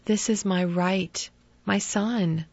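A woman reading the part of a loving, pleading middle-aged mother, her voice lifted high and earnest. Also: fairly even intonation, slow, vocal fry, a little mellow, no room sound. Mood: soothing. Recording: dull lo-fi dry recording.

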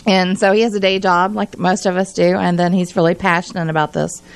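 Low, creaky-voiced woman on radio. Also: level tone, a little fast. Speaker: radio show host. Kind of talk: conversational explanation on radio. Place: small radio studio.

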